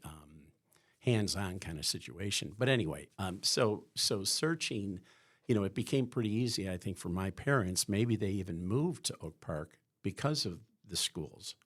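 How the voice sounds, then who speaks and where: Low-voiced husky man from Chicago. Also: measured pace, a bit bright. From Chicago area. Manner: rambling, many restarts and fillers. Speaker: guest, school staff member. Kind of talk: conversational podcast interview.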